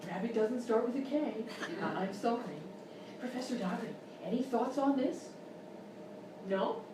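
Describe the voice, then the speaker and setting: A woman's voice: fairly high pitch, lively intonation, measured pace. A woman playing an exasperated, condescending quiz show host in a live radio-style comedy sketch. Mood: mock-polite. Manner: incredulous, scolding, mock-polite. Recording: very echoey live room, far mic, noisy.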